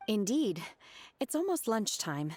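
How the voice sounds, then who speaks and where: An actress playing a stoic young waitress and martial disciple in an anime game, polite, reserved and matter-of-fact. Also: female voice, medium pitch, very animated, unhurried, darkish tone. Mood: composed.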